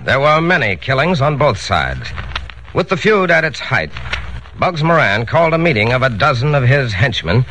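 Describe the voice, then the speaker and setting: A man's voice: medium pitch, sing-song, normal speed, bright, forceful tone. A man as a grave crime chronicler, giving formal, dramatic narration on a 1940s radio show. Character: grave male crime chronicler recounting gangland history. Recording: faintly noisy 1940s radio recording.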